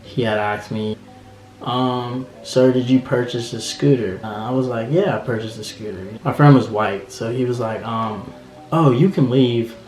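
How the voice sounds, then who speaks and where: A man's voice: mid-range voice, unhurried, a little mellow. A nineteen-year-old man casually telling a personal story with many fillers. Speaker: interviewee. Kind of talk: personal testimony. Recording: roomy echo with faint music.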